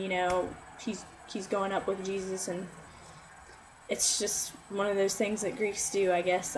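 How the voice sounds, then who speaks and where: A young woman talks her way through a class presentation in a casual, upbeat ramble, leaning on filler words. Her voice sits in an ordinary middle pitch. A faint hiss runs under the recording. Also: poor audio. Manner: chatty, many "like" fillers, upbeat. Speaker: student giving a class talk. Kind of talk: informal oral presentation.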